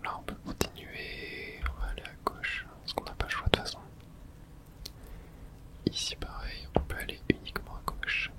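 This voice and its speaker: A man whispers throughout, with almost no voice in the breath. He gives ASMR commentary as he plays a video game. His remarks come short and hushed, as if he is thinking aloud. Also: normal speed.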